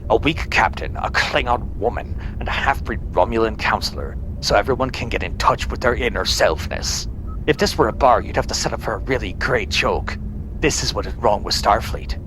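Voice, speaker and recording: A man's voice: on the low side, moderate pace, background noise, lightly acted. A man playing an arrogant, bullying rear admiral with a domineering, sarcastic manner in a sci-fi audio drama. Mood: outraged.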